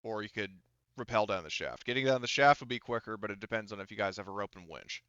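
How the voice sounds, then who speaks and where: Low, smooth man, halting game master. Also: sharp tone, no room sound, lo-fi sound. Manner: halting, rambling, frequent "like" and "you know"; casual swearing. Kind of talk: casual tabletop game narration.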